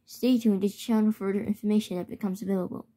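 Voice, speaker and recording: Woman doing a homemade emergency alert announcement, mellow tone, mid pitch, trips over words and repeats them. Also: fairly even intonation. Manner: halting reading with stumbles and repeats. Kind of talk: scripted mock emergency alert read aloud.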